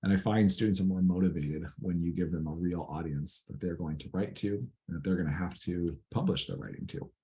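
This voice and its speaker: Hoarse, raspy low-voiced man lecturing. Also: mellow. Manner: clears throat often, measured explaining tone. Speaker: teacher educator. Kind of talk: recorded lecture.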